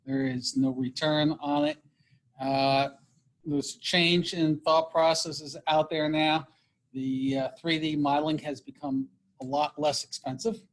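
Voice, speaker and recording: Slightly high-voiced man explaining. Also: fairly even intonation, poor audio. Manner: measured, explanatory, many fillers. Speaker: meeting participant. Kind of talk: casual meeting discussion.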